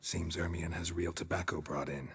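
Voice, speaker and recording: An actor playing a stoic middle-aged monster hunter in a video game, with an American accent, a gravelly voice and a terse, deadpan delivery. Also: male voice, moderate pace, a little hiss or hum. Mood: wry, curious. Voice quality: low gravelly. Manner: terse, deadpan, clipped phrasing.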